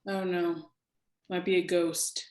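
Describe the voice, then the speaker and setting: Woman as a brusque, low-pitched federal agent. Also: little pitch movement, takes it slowly, a little mellow. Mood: curt, wry. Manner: authoritative, curt. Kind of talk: scripted table-read drama acting. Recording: echoey room.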